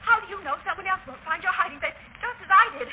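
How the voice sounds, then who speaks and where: Sharp-voiced captive. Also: female voice, extremely high pitch, expressive, heavily acted. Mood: urgent protest. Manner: pleading, urgent, halting. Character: frightened but defiant captive young woman. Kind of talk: 1930s radio drama acting. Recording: dull noisy 1930s radio recording.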